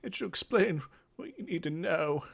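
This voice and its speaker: A man's voice: high-pitched, expressive, moderate pace, vocal fry, breathy edge, pitched above the performer's own voice, exaggerated voice. A man voicing a fussy, condescending mage craftmaster from a fantasy game in an airy, theatrical, patronizing manner.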